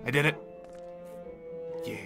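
A man's voice: slow, over music. A man hosting a game video with playful improvised roleplay, in a jokey, rambling way with mumbled asides.

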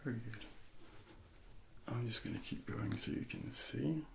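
Very gravelly soft-spoken man, ASMR coaching. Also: mid-range voice, slight background noise. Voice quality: gravelly, breathy near-whisper. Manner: slow, gentle, hushed coaching. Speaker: ASMR performer playing a personal trainer.

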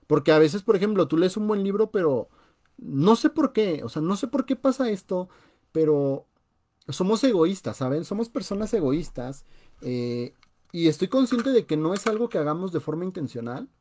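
A man's voice: high voice, lively intonation, moderate pace, dark tone. A young man with a Mexican Spanish accent, hosting a live-streamed personal finance talk from a home room over a webcam, conversational and emphatic, often saying "o sea". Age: young adult. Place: small room at home, indoors. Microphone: webcam on a video call.

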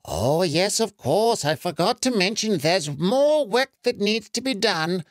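Narrator putting on a genteel old wizard with lively swings. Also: medium pitch, dry recording, clean studio sound, pitched above the performer's own voice, natural voice. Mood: kindly, tired. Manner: formal, measured storybook phrasing. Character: kindly old male wizard, genteel and knowing.

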